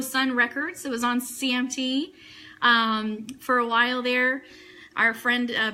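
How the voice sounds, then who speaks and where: A woman's voice: a little high, pushed and bright. A woman hosting a casual fan radio show live, chatting informally and with enthusiasm.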